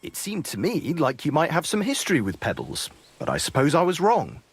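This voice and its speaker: An actor playing a blunt, sardonic medieval horse trader with a husky voice in a video game. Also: male voice, a little high, wildly swooping pitch, brisk, exaggerated voice. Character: gruff, hard-bargaining medieval horse trader, man. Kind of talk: video game dialogue acting.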